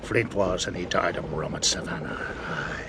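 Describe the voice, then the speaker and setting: Deep, gravelly, scheming pirate ringleader. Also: male voice, moderate pace. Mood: bitter, mocking. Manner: old seafaring dialect, wheedling and threatening by turns. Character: cunning, menacing pirate ringleader, falsely genteel. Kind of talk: audio drama acting. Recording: steady clear background noise.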